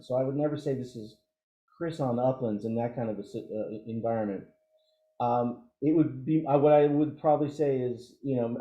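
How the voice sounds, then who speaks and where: A man giving an informal training presentation as an instructor, explaining things haltingly and thinking aloud through examples. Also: medium pitch, dark tone. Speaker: emergency radio trainer. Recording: dull, roomy, echoey audio.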